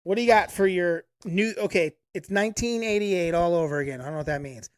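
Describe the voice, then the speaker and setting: A woman's voice: fairly low pitch, quick pace, no room sound. A woman in her late thirties co-hosting a podcast, teasing and mock-scolding her friend in casual chat at home. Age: 30s. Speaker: podcast co-host. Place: apartment room, recorded together in person.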